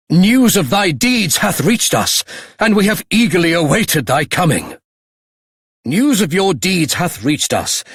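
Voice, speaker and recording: A grand older monarch from a fantasy video game. He has a British accent and a somewhat high, husky voice. His delivery is courteous, formal and very measured. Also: dry recording, clean studio sound, heavily acted. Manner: formal, archaic, stately. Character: grand, courteous older king, stately and solemn. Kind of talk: video game character acting.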